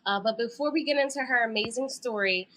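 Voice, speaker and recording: A woman podcast host with a bright, sharp voice pitched a little high. She is upbeat and encouraging as she asks her guest questions. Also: a little fast, slight background noise. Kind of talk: casual interview.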